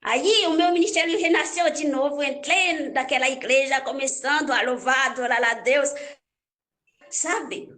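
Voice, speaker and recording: Young Haitian woman, Haitian accent, high and unhurried; halting storyteller who repeats phrases. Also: bright, forceful tone. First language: Haitian Creole. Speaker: church worship minister. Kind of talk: personal life story.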